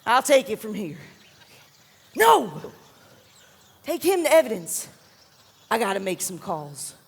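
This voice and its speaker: A woman's voice: a little high, big pitch swings, takes it slowly, vocal fry, husky, exaggerated voice. A woman playing a blunt, exasperated superior officer in a comedic stage dialogue. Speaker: actor. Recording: echoey reverberant hall.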